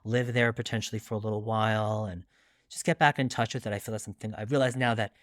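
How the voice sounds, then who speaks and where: A man's voice: lowish voice, fairly even intonation, quick pace, no room sound, studio quality. A middle-aged man from Long Island, New York, speaking candidly and reflectively as a guest in an interview, often adding asides. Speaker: guest author.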